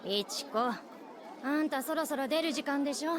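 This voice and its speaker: A woman's voice: high voice, quick pace, a crowd in the background, lightly acted. A woman voicing a brusque, nagging female character in a visual novel. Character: brusque, nagging woman looking after someone. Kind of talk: Japanese visual novel voice acting. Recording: game audio under noisy stream ambience.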